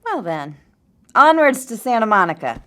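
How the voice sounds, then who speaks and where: A woman's voice: on the high side, big pitch swings, moderate pace, bright, forceful tone. An actress in an audio drama playing a sweet, chatty woman who poses as an expectant mother, friendly and confiding. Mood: cheerful. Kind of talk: audio fiction drama acting.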